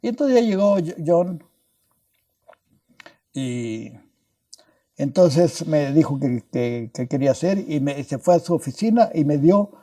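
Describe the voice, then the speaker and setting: A man's voice: fairly high pitch, animated, unhurried, long pauses, warm tone. An older man from Mexico with a Mexican Spanish accent, telling stories as a guest scientist in an interview, restarting words and adding tag questions as he goes. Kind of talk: anecdotal storytelling in a podcast interview.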